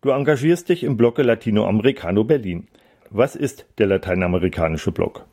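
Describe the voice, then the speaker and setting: A man hosting a radio magazine, reading a scripted intro and asking interview questions in a measured way. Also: fairly low pitch, expressive, warm tone. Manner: measured, read-aloud delivery.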